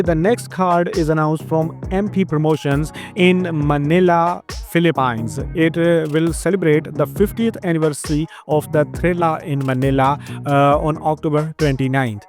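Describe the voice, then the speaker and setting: A man with an Indian accent narrates sports news as a channel host, reading in a halting way with frequent filled pauses. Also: fairly high pitch, a little mellow. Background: music behind the voice.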